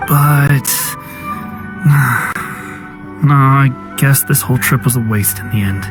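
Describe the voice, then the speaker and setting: A man's voice: mid-range voice, slow pace, natural voice. An actor voicing a regretful young man on the run who speaks in a subdued, resigned way. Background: game background music.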